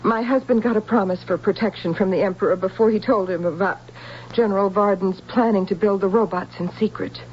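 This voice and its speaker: A woman's voice: medium pitch, fairly quick, darkish tone, natural voice. A woman acting a proud, guarded married woman, curt and defensive, in a radio drama. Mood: cool, defiant. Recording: dull lo-fi radio recording, faint hiss.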